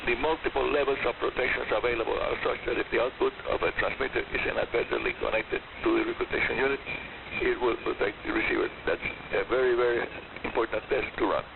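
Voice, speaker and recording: Gravelly man on hissy shortwave radio. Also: medium pitch, quick pace. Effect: shortwave radio reception. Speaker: radio programme host. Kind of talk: scripted shortwave radio programme presentation. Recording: hissy, narrow-band shortwave radio broadcast. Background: radio static and hiss.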